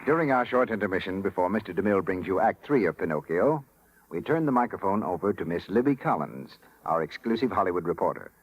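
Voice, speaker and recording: An American man announcing in scripted 1930s radio style with a husky voice. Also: sits low, animated, fast, dull audio, slight background noise. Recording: old 1930s radio broadcast.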